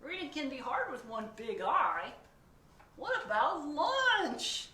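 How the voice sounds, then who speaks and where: A woman voicing an excitable, whiny male pigeon who begs and pleads melodramatically in a storybook read-aloud. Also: on the high side, unhurried, voice pushed up. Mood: anxious. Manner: wheedling, begging, melodramatic. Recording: echoey room, distant mic, faint noise.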